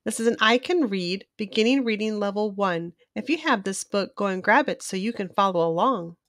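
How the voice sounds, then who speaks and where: A woman hosting a read-aloud for young children, reading in an expressive storytelling style. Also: medium pitch, moderate pace, dry recording, studio quality. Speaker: children's book reader and host.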